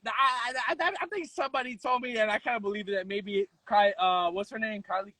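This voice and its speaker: A man speaks in a high, sharp voice, recorded close and dry. He is an interview guest telling stories casually, with slang and strong opinions. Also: fast, dry recording. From the Bronx, New York. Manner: animated storytelling, slangy, switches into Spanish. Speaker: interview guest, content creator.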